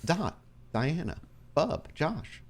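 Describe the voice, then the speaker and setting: A man's voice: a little high, big pitch swings, very slow. A man hosting a podcast, talking informally and enthusiastically, with a jokey way of making announcements. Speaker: podcast host and game storyteller. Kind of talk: casual podcast announcements and banter.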